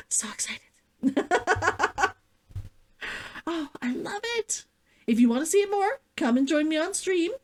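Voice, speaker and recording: A woman hosting a read-along stream, talking to viewers and reading a story as an excitable vtuber. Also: extremely high pitch. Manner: giddy, giggly, gushing and repetitive while chatting.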